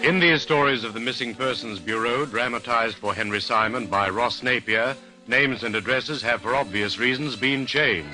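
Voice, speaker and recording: A man's voice: medium pitch, normal speed, music in the background, poor audio. A man announcing in the style of a 1950s radio drama introduction.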